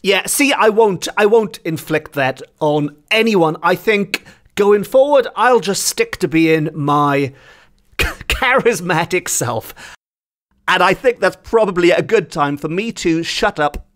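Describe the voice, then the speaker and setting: A man's voice: high voice, lively intonation, slow, fairly bright tone, faint music behind. A man hosting a podcast in a casual, chatty, self-deprecating way. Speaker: podcast host.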